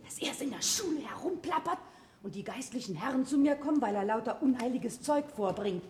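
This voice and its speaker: A woman playing a no-nonsense, scolding mother with a sharp, sarcastic manner in a classic radio play. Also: fairly high pitch, lively intonation, fairly quick, lightly acted. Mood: indignant. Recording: roomy sound with faint noise.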